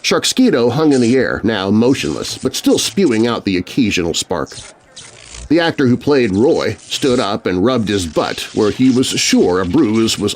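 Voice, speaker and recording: A man's voice: on the low side, a little hiss or hum. A man narrating a scary story for children in a theatrical, suspenseful, scripted style. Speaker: narrator and host.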